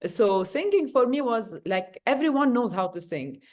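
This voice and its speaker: A woman's voice: on the high side, sing-song, normal speed. A woman, a guest on an interview show, talking casually with lots of fillers and restarts as she tells her story. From Lebanon.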